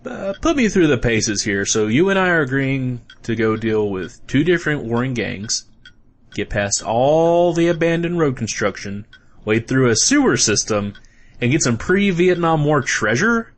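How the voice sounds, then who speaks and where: A man's voice: mid-range voice, very animated, normal speed, close to an everyday voice. A man playing an exasperated, skeptical sidekick in a comedic audio drama, with a sarcastic, incredulous delivery. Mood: wary, reluctant. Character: skeptical, exasperated male radio newsman, reluctant sidekick.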